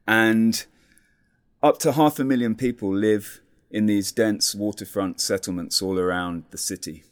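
A man with a lowish voice who explains at an even, measured pace. He lays out details carefully, like a guest setting the scene for listeners. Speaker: community radio guest. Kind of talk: conversational explanation.

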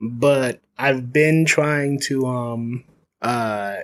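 A man who hosts a podcast, chatting casually about his plans in a relaxed, halting way with slang. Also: medium pitch. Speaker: podcast host being interviewed. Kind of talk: casual chat.

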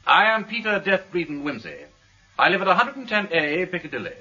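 An actor playing a gallant English gentleman detective with a crisp, courteous, formal upper-class accent in a British radio drama. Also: high-pitched, sing-song, brisk, dull audio, full character voice. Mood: formal, resolute.

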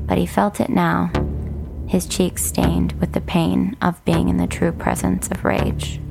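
Woman reading a script, lots of vocal fry, music playing behind her. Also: lowish voice. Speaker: audio fiction creator, writer and narrator. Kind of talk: scripted narration and show promo.

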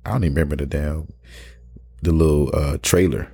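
Homem de voz grave e profunda. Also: male voice, very deep voice, brisk, creaky, husky. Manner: relaxed, conversational, asks questions. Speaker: podcast co-host. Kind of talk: casual chat.

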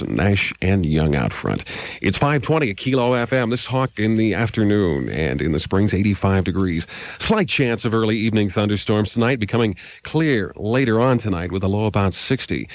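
Low-voiced male 1980s rock radio DJ. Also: dry recording. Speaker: radio DJ and interviewer. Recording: hissy old cassette tape.

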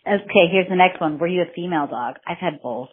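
A woman's voice: on the low side, fast, a little hiss or hum. A woman hosting a casual interview podcast, teasing and laughing often. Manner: giggly, teasing. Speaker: podcast host. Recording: tinny phone-line sound.

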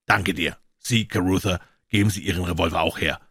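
A man voicing a cool, commanding detective who speaks calmly, briskly and with authority. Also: sits low, breathy edge, no room sound, studio quality, heavily acted.

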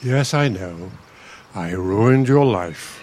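A man's voice: fairly low pitch, lively intonation, slow pace, a little mellow. A man playing an irritable elderly bookish husband in a radio play, testy, condescending and sardonic. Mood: exasperated. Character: irritable elderly bookish husband, pompous retired college dean.

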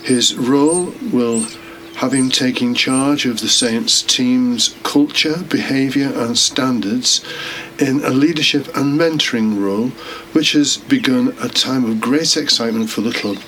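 A man's voice: mid-range voice, unhurried, a bit bright, a little hiss or hum, poor audio. A man, a deacon hosting and giving a homily on a podcast. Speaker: permanent deacon, podcast host.

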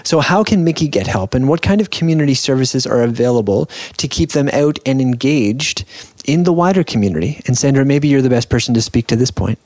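A man hosting a panel discussion, asking questions in a measured, articulate and earnest way. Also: medium pitch, expressive, darkish tone, no echo. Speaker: podcast host, interviewer.

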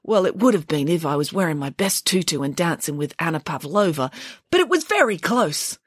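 A woman's voice: sits low, sing-song, husky, no echo, studio quality, exaggerated voice. A woman voicing a huge, sensitive male bear who weeps and wails, in a storybook reading. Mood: earnest. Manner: tearful, drawn-out wailing. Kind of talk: storybook character voice.

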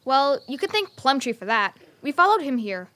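High-voiced, eager young girl. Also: big pitch swings, normal speed, a bit bright. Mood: earnest, kind. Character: bright, helpful, bookish young girl adventurer.

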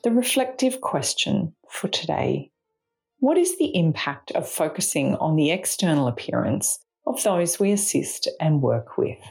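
An Australian woman reading a scripted spiritual reflection in a measured, reflective way. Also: sits low, big pitch swings, creaky, a little mellow, faint music behind. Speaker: reflection speaker, charity mission partner.

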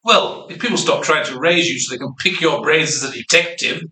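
Man voicing a sharp, bright droll ghost sidekick. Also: fairly high pitch, a little fast. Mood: musing, droll. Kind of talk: amateur old-time radio drama acting. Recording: echoey room with faint noise.

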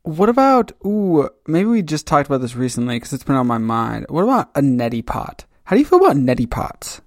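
A fairly high-voiced man hosts a podcast, teasing his co-host and joking in an animated, loose way. His voice sounds dry and close-miked. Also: a little fast, dry recording. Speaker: podcast host, comic creator. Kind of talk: casual chat.

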